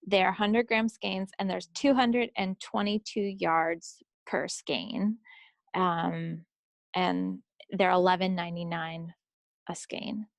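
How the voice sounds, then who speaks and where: A middle-aged woman hosting a podcast, talking casually about products in an informal, halting way and often circling back to rephrase. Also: fairly low pitch, vocal fry, dry recording. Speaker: podcast host presenting yarn picks.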